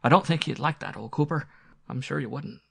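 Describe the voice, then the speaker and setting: A man's voice: on the high side, brisk, gravelly, breathy edge, voice pushed up, full character voice. Man acting a blustering, fearful country squire. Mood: urgent, blustering. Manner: blustering, rustic, emphatic speech.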